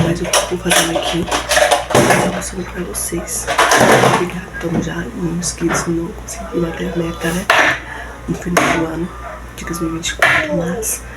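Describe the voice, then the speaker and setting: Hoarse Brazilian woman, gravelly voice. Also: fairly low pitch, flat delivery, normal speed. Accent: Brazilian Portuguese. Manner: rambling, chatty, keeps checking in with viewers. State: hoarse from a recent flu. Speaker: homemaker vlogger. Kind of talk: casual chat to viewers. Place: home, near a running washing machine. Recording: loud household noise, washer and clattering dishes.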